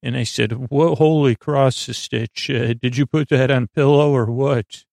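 A man hosting a bedtime podcast in a rambling monologue, halting and often losing his thread, silly and reassuring. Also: medium pitch, fairly even intonation, gravelly, darkish tone, no room sound, studio quality. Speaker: podcast host.

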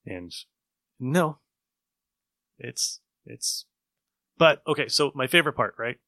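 A man's voice: medium pitch, expressive, slow pace, sharp tone, dry recording, clean studio sound. A man hosting a casual podcast chat about old radio shows, rambling along as an enthusiastic storyteller. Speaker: podcast host.

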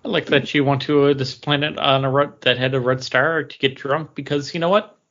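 A man's voice: mid-range voice, steady tone, brisk, a bit bright. A man co-hosting a podcast, chatting casually as he reviews comic books. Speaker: podcast co-host. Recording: dull lo-fi podcast audio.

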